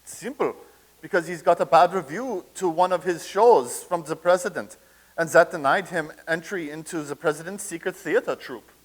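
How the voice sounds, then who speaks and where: A man's voice: a little high, moderate pace, close to an everyday voice, rough recording. A man in a staged reading playing a pompous, blustering loyalist of a fascist dictator who stammers when challenged.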